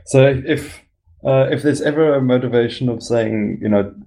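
A man co-hosting a casual podcast, giving an opinion in a measured way. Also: mid-range voice, mellow, poor audio.

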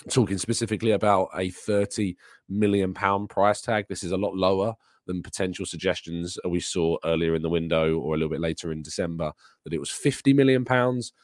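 A man with a raspy, hoarse voice from a sore throat, hosting a live show and casually answering chat questions. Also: sits low, brisk, no room sound, clean studio sound. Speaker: podcast host. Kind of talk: live transfer news and chat Q&A.

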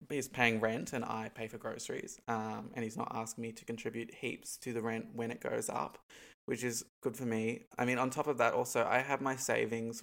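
Stuffy young Australian man, gravelly fry. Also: mid-range voice, fairly bright tone. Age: young adult. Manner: rambling, many ums and likes, laughs between points. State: stuffy, congested nose. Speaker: vlogger. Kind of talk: casual to-camera chat.

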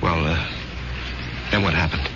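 An actor playing an uneasy, loyal war veteran with hesitant, colloquial speech in a radio drama. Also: male voice, very deep voice, a little slow, background noise. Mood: uneasy, subdued. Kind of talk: 1970s radio drama acting. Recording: dull 1970s radio broadcast.

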